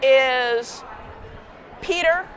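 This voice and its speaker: Woman fan, high bright voice, slow and cheerful.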